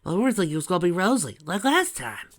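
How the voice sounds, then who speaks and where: A man's voice: high-pitched, big pitch swings, fast talker, fairly bright tone, voice pushed up, full character voice. A man voicing an anxious, earnest young princess in an amateur story reading. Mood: surprised, anxious.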